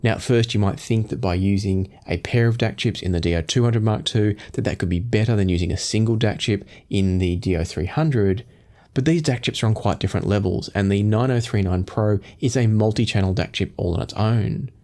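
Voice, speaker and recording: Male reviewer, forties, fairly low pitch, measured explanatory delivery. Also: warm tone. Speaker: audio gear reviewer and host. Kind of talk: product review to camera. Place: small home studio room indoors.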